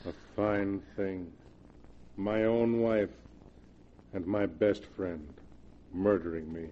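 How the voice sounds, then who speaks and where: An actor playing a murdered husband's ghost in 1940s radio style, measured, mock-polite and calmly reproachful. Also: male voice, low voice. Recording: dull, noisy vintage radio recording.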